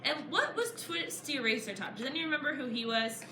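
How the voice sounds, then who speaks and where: Woman, high voice, storybook read-aloud, encouraging teacher-like questions, echoey classroom, noisy background. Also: lively intonation, fast. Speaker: author reading her book to a class. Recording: echoey room, clear background noise. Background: children answering, room noise.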